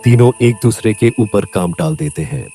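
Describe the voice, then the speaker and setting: A man playing a worried older farmer and father in a Hindi cartoon story, speaking earnestly and in measured phrases. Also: fairly low pitch, gravelly, dark tone, faint music behind. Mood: troubled. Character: worried old farmer, father of three lazy sons.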